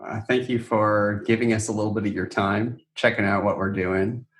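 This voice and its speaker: A man co-hosting a podcast, speaking conversationally in a thoughtful, measured manner. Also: fairly low pitch, fairly even intonation. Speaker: podcast co-host. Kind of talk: conversational podcast talk about a theater project.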